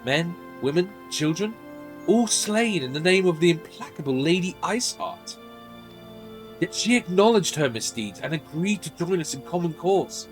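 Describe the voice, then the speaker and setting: A man reading as a stoic, dutiful woman knight commander from a fantasy game, formal and measured. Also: a little high, lively intonation, faint music behind, natural voice. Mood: solemn, reflective.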